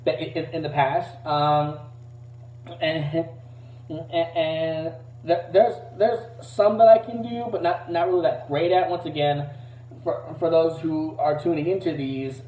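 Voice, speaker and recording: Halting man on a roomy, distant mic. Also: fairly even intonation, dark tone. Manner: hesitant, halting, self-deprecating. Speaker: amateur voice impressionist. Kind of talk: casual rambling voice demo to camera. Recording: roomy, distant, dull low-fidelity mic.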